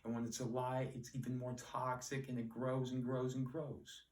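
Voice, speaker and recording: A man teaching word origins in a relaxed, rambling, self-deprecating way. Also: mid-range voice, normal speed, distant mic. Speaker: Latin etymology teacher. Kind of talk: casual solo etymology lesson. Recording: echoey room, voice off-mic.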